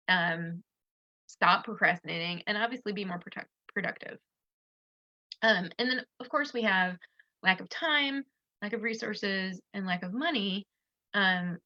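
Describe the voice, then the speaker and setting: A woman's voice: medium pitch, sharp tone, dull audio. A woman giving casual business advice on a live stream, stuffed up and losing her voice, chatty and full of restarts. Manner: chatty, loose, with many restarts and fillers. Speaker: business coach. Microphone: streaming on a social video platform.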